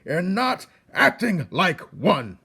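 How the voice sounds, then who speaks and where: A man's voice: high-pitched, wildly swooping pitch, slow pace, gravelly, bright, forceful tone, breathy edge, voice pushed up, exaggerated voice. A man voicing a stern, pompous old head mouse who declaims formally and indignantly in a storybook.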